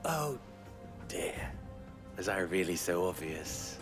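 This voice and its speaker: An actor playing a wry, sardonic merchant fixer with a dry, teasing manner in a game cutscene. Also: male voice, low voice, big pitch swings, takes it slowly, over music, heavily acted. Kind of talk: video game cutscene acting. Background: game score.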